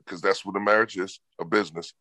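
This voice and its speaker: Deep-voiced, boisterous man, firm and authoritative, dry close sound. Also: steady tone, fast, no room sound. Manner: loud, boisterous, talks with authority. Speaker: podcast co-host. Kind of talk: casual debate chat.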